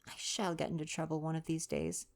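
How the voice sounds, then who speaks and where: A woman's voice: sits low, very animated, a little fast, a little mellow. A woman voicing a cheeky, slangy young American-born actress and acrobat in a read-aloud novel. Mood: playful, bold. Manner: slangy, brash, playful.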